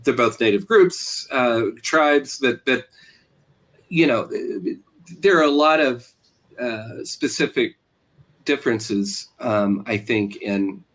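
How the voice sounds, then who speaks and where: An American man from Oklahoma answering interview questions as a writer, thoughtfully and haltingly, searching for words and repeating phrases. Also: mid-range voice, a bit bright. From Oklahoma, USA. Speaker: interviewed novelist.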